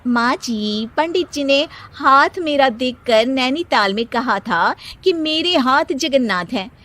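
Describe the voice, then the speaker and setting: A woman voicing a proud, bossy young wife in a cartoon story, boastful and quick to snap back. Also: high voice, expressive, a bit bright, exaggerated voice. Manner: brash, boastful, snapping back. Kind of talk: animated cartoon story dialogue.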